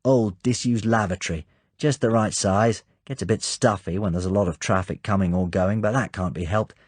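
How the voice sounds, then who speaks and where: A man voicing a brisk middle-aged British station chief who talks in a hearty, clipped, urgent way. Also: fairly low pitch, darkish tone, slightly rough, no echo.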